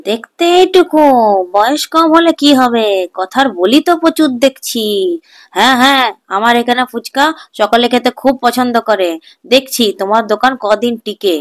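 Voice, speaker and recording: A jealous middle-aged street-food seller from a children's cartoon, acted out by a woman. He is gruff and sly, with a sneering, scheming manner. The sound is dry and close. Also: fairly high pitch, normal speed, no echo, lightly acted. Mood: scornful. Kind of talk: Bengali children's cartoon dubbing.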